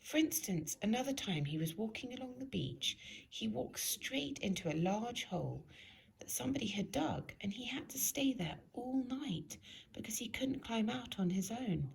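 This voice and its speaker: A woman reads a children's story aloud in an expressive, emphatic storytelling manner. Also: a little high, moderate pace, gravelly, rough recording. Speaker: storybook reader.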